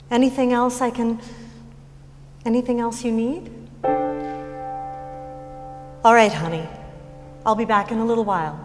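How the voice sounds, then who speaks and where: A woman plays a gentle, anxious stage mother with a fairly high voice. She coaxes her grieving daughter haltingly and tentatively, recorded in a hall with long reverb. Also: close to an everyday voice. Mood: concerned. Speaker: actor in staged reading of musical. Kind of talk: live stage reading acting.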